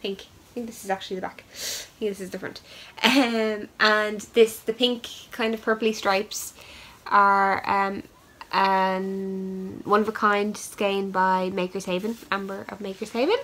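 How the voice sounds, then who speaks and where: A young woman chats casually as she hosts her knitting podcast, her voice sitting at a middling pitch. She is stuffed up with a head cold. She fills her talk with ums and cheerful asides as she shows her work. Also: little pitch movement, measured pace, bright, forceful tone, low-quality recording. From Ireland. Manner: chatty, many ums, upbeat asides. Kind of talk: casual show-and-tell chat.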